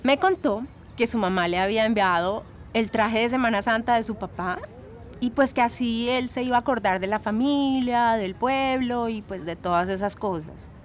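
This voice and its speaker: A woman's voice: fairly high pitch, moderate pace, close to an everyday voice. An actress playing a frank, protective young Colombian woman giving casual testimony in an audio drama, dropping in English phrases. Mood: fond. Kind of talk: documentary-style testimony, scripted audio drama. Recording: voice over faint ambient noise.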